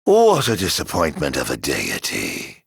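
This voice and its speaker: A man playing a middle-aged video game villain, sardonically genteel and flamboyant, with mock-polite, drawn-out delivery. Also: a bass voice, wildly swooping pitch, vocal fry, breathy edge, dry recording, studio quality, heavily acted. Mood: dry disdain. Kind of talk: video game battle voice lines.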